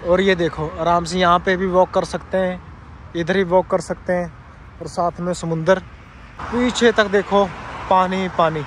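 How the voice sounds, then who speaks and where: A man's voice: high voice, fairly even intonation, moderate pace, fairly bright tone. A man chatting casually as a travel vlogger while walking along a city waterfront, pointing things out, repeating himself loosely. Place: outdoors on a city waterfront street. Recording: outdoor traffic noise.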